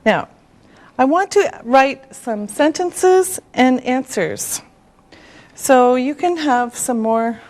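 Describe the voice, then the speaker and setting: Very slow, high-voiced woman teacher. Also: animated, darkish tone. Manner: deliberate, carefully enunciated, instructional. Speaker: English teacher. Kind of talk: slow scripted beginner language lesson.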